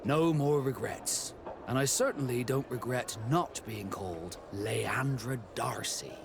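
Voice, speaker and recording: An actor playing a proud, hot-headed sea captain in a video game. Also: male voice, medium pitch, unhurried. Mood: reflective. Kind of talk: video game cutscene acting. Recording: clear background ambience noise.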